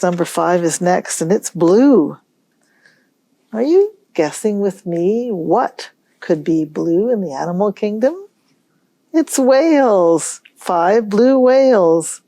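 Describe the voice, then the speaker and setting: A woman hosting a storytime for young children, reading aloud in a gentle, musing way and pausing to pose questions. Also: on the low side, vocal fry, no room sound. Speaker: children's library storytime host.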